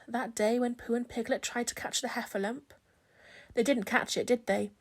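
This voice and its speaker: A woman's voice: on the high side, fairly even intonation, normal speed. A woman voicing a trusting, curious young boy in a children's storybook, with a simple, eager, questioning manner. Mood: curious, wistful.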